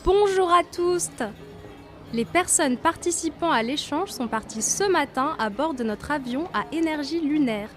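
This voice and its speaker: A woman puts on a mock radio newsreader, playful and proclaiming. The voice is high and the words are stretched out theatrically over light music. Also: expressive, measured pace. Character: playful singsong female news announcer trio member. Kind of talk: mock radio news bulletin. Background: faint music.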